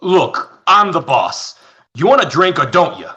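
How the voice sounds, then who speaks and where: Radio play barkeep: male actor as brusque tough guy, highish, forceful, unhurried but impatient. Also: sing-song, dull audio, full character voice. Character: gruff, impatient male bar boss. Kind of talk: old-time radio play live reading.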